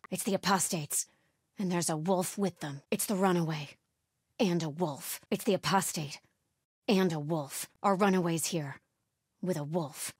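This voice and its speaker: Casting: grim female cult hunter for a video game, husky voice, dry close sound, clipped menacing orders. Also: fairly low pitch, a little mellow, no room sound. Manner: terse, commanding, menacing. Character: hostile female cult warrior hunting intruders, grim and zealous. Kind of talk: video game combat barks.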